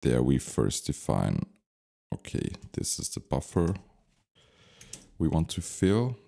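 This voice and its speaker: A man giving an unscripted software tutorial, thinking aloud step by step as he instructs. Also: rumbling low, wildly swooping pitch, takes it slowly, gravelly.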